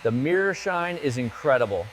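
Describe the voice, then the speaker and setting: A man's voice: fairly high pitch, sing-song, moderate pace, a little hiss or hum, rough recording. A man making short spoken remarks like a workshop worker, with music behind.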